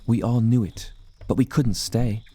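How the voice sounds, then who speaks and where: A man narrating dramatic scripted audio fiction in the first person, with measured, deliberate storytelling. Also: medium pitch, warm tone. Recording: music behind the voice.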